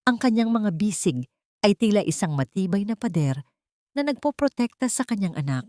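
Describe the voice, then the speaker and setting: A woman reading a scripted dramatic love story in a measured, solemn manner. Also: medium pitch, very animated, darkish tone, no echo.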